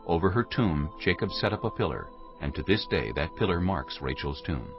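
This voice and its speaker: A man with a deep, very expressive voice narrates scripture solemnly, as a storyteller. Music plays behind him, and the recording is slightly dull. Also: moderate pace. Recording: muffled audio under music.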